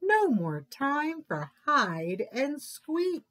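A woman's voice: high voice, very animated, very slow, a bit bright, full character voice. A woman voicing a playful father mouse who calls for his hiding child in a sing-song rhyming chant.

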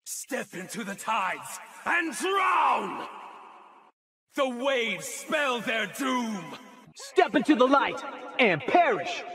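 Celestial game mystic, merciless and grandiose, bright proclaiming voice in long reverb. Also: male voice, very high voice, very animated, drawn-out words, exaggerated voice. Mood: ominous triumph. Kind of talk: video game ultimate lines.

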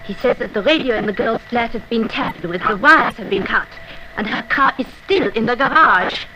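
Formal villain's secretary, noisy old recording. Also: female voice, on the high side, brisk, pushed and bright. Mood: urgent, reporting. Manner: crisp, formal, dutiful. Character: efficient, deferential female villain's secretary. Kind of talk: 1960s radio thriller acting. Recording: noisy damaged 1960s flexidisc recording.

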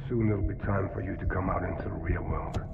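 Low, muffled, reverberant tender father. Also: steady tone, brisk, creaky. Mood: tender, wistful. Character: tender, wistful father speaking to his unborn child. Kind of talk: cinematic video game acting. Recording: muffled, reverberant, heavy watery noise.

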